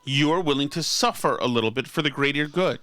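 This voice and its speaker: A man's voice: on the high side, fairly quick, a bit bright, no echo. An American man hosting a podcast in casual political chat, with a sardonic manner. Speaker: podcast host.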